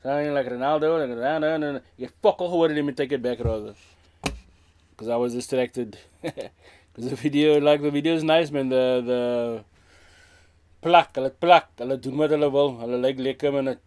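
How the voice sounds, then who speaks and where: A man's voice: medium pitch, fairly quick, a little mellow, smooth. A man in his thirties from South Africa with a Cape Town accent, giving frank, casual commentary in a small room and slipping Afrikaans phrases into his English. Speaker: music reaction host. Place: small indoor room with a brick wall.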